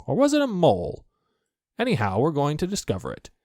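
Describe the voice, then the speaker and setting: A man's voice: fairly high pitch, wildly swooping pitch, a little fast, creaky, a little mellow, dry recording, studio quality, voice pushed up, full character voice. A man voicing a naive, good-natured toy bear in a storybook, speaking in an eager, wondering way. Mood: cheerful. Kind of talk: storybook character voice.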